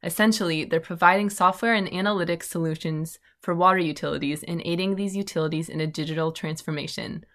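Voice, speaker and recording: A woman hosting a podcast, reading out a smooth introduction of her guest. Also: fairly low pitch, fairly even intonation, quick pace, few pauses, a bit bright. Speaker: podcast host.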